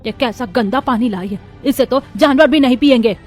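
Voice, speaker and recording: Woman playing a harsh, nagging mother-in-law, fairly high-voiced. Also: fairly quick, darkish tone, close to an everyday voice. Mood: angry scolding. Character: cruel, scolding older mother-in-law in a family drama. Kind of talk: cartoon story voice acting. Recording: background music under voice.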